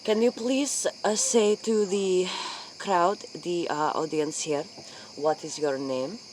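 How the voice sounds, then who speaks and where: Woman playing a slow, halting interviewer. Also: on the low side, steady tone, a little mellow, slight background noise. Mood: solemn. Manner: halting, repetitive, formal. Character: solemn Eastern European female late-night interviewer. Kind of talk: improvised spoof interview in character.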